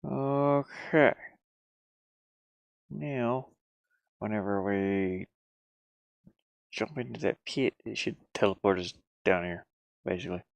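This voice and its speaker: A man's voice: lowish voice, takes it slowly, gravelly, long pauses, no room sound. A man thinking aloud in a casual way as he works on his game, muttering to himself in short fragments. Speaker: solo indie game developer. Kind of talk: casual think-aloud screen-recording commentary.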